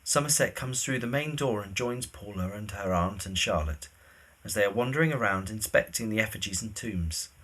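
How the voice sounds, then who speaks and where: A man's voice: lowish voice, animated, normal speed, fairly bright tone. A man reading scripted stage directions as the narrator of an audio drama.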